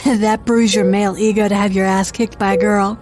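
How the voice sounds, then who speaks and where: A woman's voice: medium pitch, level tone, a little fast, close to an everyday voice. A woman playing a doctor who mocks and defies her captor. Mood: defiant. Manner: mocking and defiant. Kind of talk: indie audio drama acting.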